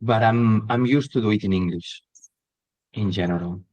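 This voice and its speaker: Soft-spoken Catalan-accented man, low voice. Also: normal speed, muffled recording. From Catalonia, Spain. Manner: hesitant, many ums and restarts. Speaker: podcast guest. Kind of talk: casual interview chat.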